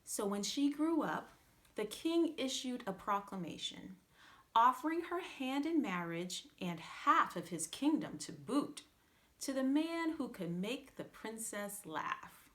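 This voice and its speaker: A woman reading a fairytale aloud as a children's storyteller, with theatrical, drawn-out emphasis. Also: a little high, sing-song, fairly bright tone. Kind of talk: scripted fairytale narration for children.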